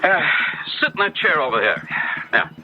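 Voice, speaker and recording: A noisy, muffled old radio recording features a genial male make-up artist. His mid-pitched voice swings animatedly as he muses, hesitates and exclaims over his craft. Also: sing-song. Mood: absorbed, musing. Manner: musing, halting, then exclamatory. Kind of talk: 1970s radio drama acting.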